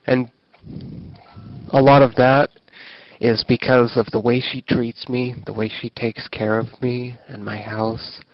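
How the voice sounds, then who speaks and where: A man recording a personal audio diary, speaking haltingly with a whiny voice and long pauses between phrases. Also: mid-range voice, little pitch movement, dry recording. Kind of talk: slow personal audio diary, talking to himself. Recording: dull lo-fi tape with music behind. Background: music.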